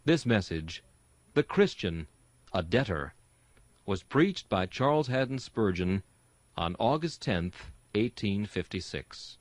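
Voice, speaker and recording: A man's voice: on the low side, very animated, slow, no echo. A man giving an earnest, rhetorical reading of a Victorian sermon, exhorting his listeners. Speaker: sermon reader and host.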